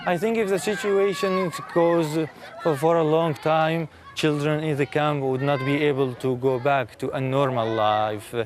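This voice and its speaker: A man with a fairly high voice answers interview questions about his work. He speaks thoughtfully and haltingly, restarting and repeating words. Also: fairly even intonation. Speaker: aid worker.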